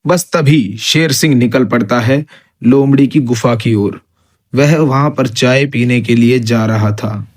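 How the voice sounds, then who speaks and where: A man's voice: mid-range voice, measured pace. A man narrating an animal story for children as its storyteller.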